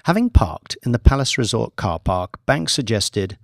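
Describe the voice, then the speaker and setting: Guy narrating an audiobook, measured and deliberate, gravelly creak in his voice, dry close sound. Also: lowish voice, sing-song, no room sound. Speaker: audiobook narrator, author reading own book.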